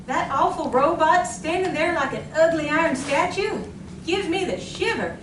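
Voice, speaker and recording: A woman's voice: very high voice, animated, normal speed. A woman acting a nervy, opinionated woman in a stage radio drama, with a fretful manner. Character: nervy, opinionated female housemate. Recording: echoey, distant, noisy live room.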